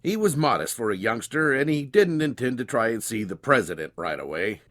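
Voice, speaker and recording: A man's voice: medium pitch, big pitch swings, a little fast, a bit bright, no room sound. A man narrating a dramatized storybook tale in a folksy storytelling manner.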